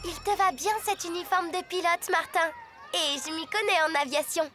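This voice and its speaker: Very high, romantic cartoon schoolgirl. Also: normal speed, bright, forceful tone, faint music behind. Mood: admiring. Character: romantic, self-assured schoolgirl, a know-it-all. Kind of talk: animated cartoon dialogue.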